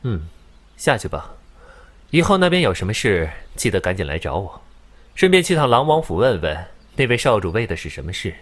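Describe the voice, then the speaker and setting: An actor playing a languid young immortal prince in a Chinese audio drama, drawling and mocking as a master to a servant. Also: male voice, on the low side, sing-song, brisk. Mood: lordly, casual.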